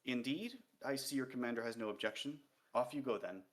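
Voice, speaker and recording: A man's voice: mid-range voice, brisk, close to an everyday voice. A man reading a novel aloud and voicing a patient male dwarf king who speaks in a comfortable, sing-song way. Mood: calm.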